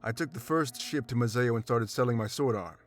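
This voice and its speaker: A man's voice: medium pitch, a little fast, soft background music, natural voice. A man voicing a hardened, boastful sellsword in a visual novel game, wry and matter-of-fact. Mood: disdainful. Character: hardened, boastful male sellsword, dry and blunt.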